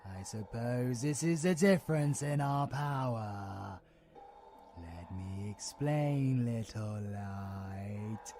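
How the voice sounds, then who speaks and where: Casting: a predatory inhuman villain for ASMR drama; extremely slow, gravelly creak, mocking menace, breathy whispers, music underneath. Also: male voice, mid-range voice, animated, dark tone. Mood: cold menace. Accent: British. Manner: slow, theatrical, drawn-out phrasing with breathy whispers. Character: predatory male vampiric abomination, menacing, mocking, savoring. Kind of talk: villain ASMR audio roleplay.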